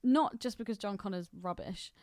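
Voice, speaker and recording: A woman's voice: mid-range voice, normal speed, darkish tone, no echo, studio quality. A woman co-hosting a podcast, chatting casually about films in an opinionated, bantering way. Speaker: podcast co-host. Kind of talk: casual film discussion chat.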